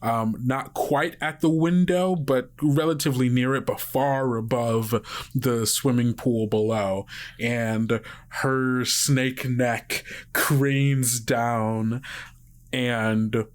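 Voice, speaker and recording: A man running a tabletop game as game master, improvising vivid narration in a halting, conversational way. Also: medium pitch, fairly even intonation.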